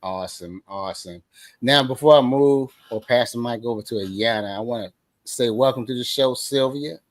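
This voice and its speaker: A man's voice: mid-range voice, moderate pace, no echo. A man hosting an interview show, asking easygoing questions and often repeating his words. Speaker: show host.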